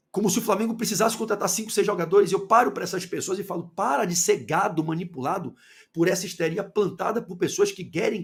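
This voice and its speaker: A man from Salvador with a Bahian accent giving an opinionated football commentary monologue, firing rhetorical questions and swinging between loud and low. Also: vocal fry. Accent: Bahian Portuguese. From Salvador, Bahia, Brazil.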